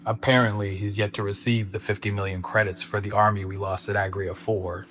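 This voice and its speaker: A man's voice: fairly low pitch, little pitch movement, fairly quick, fairly bright tone, lightly acted. An actor playing an apologetic, formal male henchman to a villain lord in a radio drama. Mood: anxious deference.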